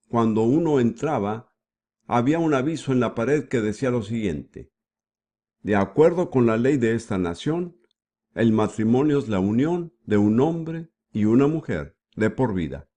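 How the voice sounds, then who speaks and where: A man giving the voice-over for a sermon, with a measured preaching delivery and frequent rhetorical questions. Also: mid-range voice, dark tone. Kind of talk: dubbed sermon.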